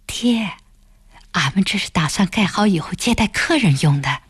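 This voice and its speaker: A woman voicing a tender, soft-spoken young village wife in an audiobook, using plain rustic wording. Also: medium pitch, animated, normal speed, breathy edge, no echo. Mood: hushed. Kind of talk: audiobook character voice.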